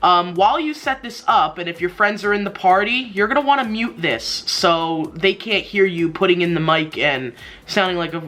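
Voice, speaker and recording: Bright-voiced young man, casual tutorial host. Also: high voice, lively intonation, normal speed. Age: young adult. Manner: informal, rambling, slangy. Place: small bedroom indoors.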